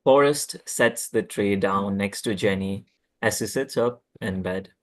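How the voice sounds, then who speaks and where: A man's voice: fairly low pitch, a little slow. A man reading scripted stage directions at a table read, plainly and with occasional stumbles.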